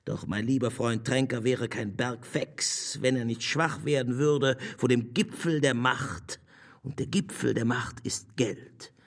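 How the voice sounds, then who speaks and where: An actor playing a sly, domineering Nazi propaganda minister, mocking and insinuating, in a radio play. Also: male voice, medium pitch, creaky, husky, exaggerated voice. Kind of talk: German radio play acting.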